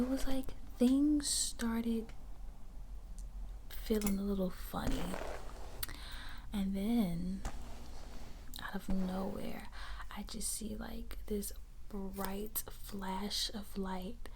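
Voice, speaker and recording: A woman in her twenties whispering an ASMR bedtime story in a casual, hesitant, conversational way with a breathy voice. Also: medium pitch, steady tone, extremely slow, pauses often, noisy background. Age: 20s. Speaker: ASMR creator telling a story.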